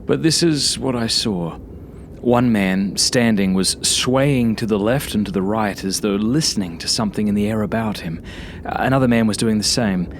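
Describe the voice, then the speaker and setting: A man narrating a first-person horror story like a journal, brooding and sometimes hushed, in short halting phrases. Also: fairly low pitch, slight background noise. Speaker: author reading own horror story.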